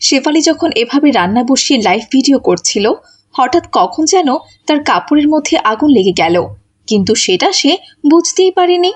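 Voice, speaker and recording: High-pitched woman narrating a cartoon. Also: big pitch swings, moderate pace. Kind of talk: scripted cartoon story narration.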